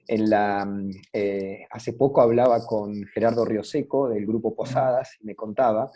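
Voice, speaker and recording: A man's voice: on the low side, fairly even intonation, unhurried, warm tone. A man with a Rioplatense Spanish accent moderating an online business webinar over a video call, inviting guests to speak.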